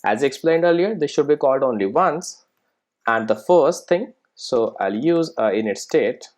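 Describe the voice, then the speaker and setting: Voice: medium pitch, animated, moderate pace, darkish tone. A programming instructor giving a casual step-by-step screen-recorded tutorial, often checking in with "right?" and "okay".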